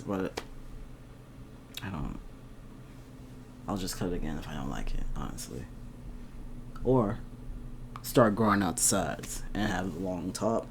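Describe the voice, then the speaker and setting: A young man chatting casually to his camera at home, laughing often and getting animated at times. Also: fairly low pitch, a little hiss or hum. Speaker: vlogger. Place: indoors at home.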